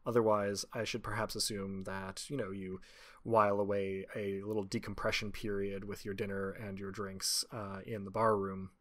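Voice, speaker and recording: A man narrating a tabletop game as game master, improvising with frequent pauses. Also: fairly low pitch, level tone, fairly bright tone.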